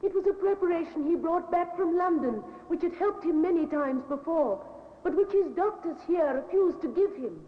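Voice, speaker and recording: High-voiced accused wife, echoing courtroom. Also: female voice, expressive. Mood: composed, defensive. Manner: formal, measured courtroom statement. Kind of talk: 1950s radio drama acting. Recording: tinny, echoey 1950s radio recording.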